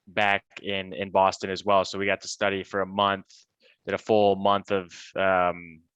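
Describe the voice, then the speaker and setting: A man in his thirties from Michigan with an American accent, chatting as an interview guest, relaxed and halting with many restarts. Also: on the low side, clean tone, no room sound, low-quality recording. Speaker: guest, cannabis advisor. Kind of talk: casual interview talk.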